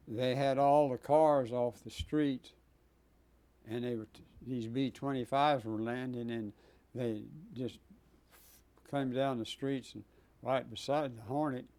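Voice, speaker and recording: Elderly man in his nineties, mellow and dark-toned, unhurried and halting, pausing often with fillers, sometimes coughing. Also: medium pitch. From Texas. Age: 90s. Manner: halting, many pauses and fillers. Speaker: Navy veteran guest. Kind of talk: oral history interview.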